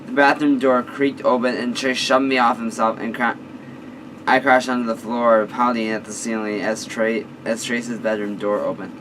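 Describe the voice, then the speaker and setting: A hoarse man reading a fan-fiction story aloud, stumbling and restarting phrases often. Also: medium pitch, level tone, a bit bright, recorded from a distance, slight background noise, poor audio. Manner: halting, frequent restarts. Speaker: story reader.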